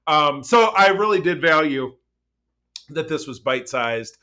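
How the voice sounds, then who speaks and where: A man chatting casually as a podcast co-host, rambling along with animated, geeky enthusiasm. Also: a little high, unhurried. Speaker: podcast co-host reviewing pop culture. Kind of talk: casual chat.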